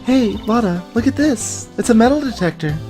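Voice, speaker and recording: A woman's voice: a little high, expressive, moderate pace, mellow, soft background music, lightly acted. A woman voicing a perky, curious young female sidekick in video game dialogue, chatty and eager.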